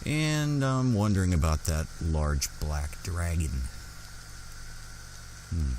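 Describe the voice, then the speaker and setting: Deep, slow-talking man role-playing. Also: noisy background. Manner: musing, halting. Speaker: gaming channel player role-playing his character. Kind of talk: slow in-character game roleplay commentary. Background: game music and ambience.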